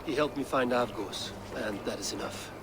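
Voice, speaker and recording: An actor playing a stern, terse Viking warrior who speaks in a measured, grave way. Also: male voice, mid-range voice, noisy background, natural voice.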